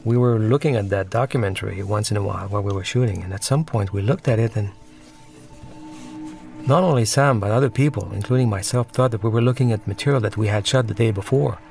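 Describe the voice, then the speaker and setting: A man with a fairly deep voice and a noticeable fry talks through his own film. He explains scenes in a reflective, halting, conversational way, with soundtrack music clearly underneath. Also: darkish tone. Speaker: filmmaker giving commentary on own film. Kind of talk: unscripted film commentary, conversational.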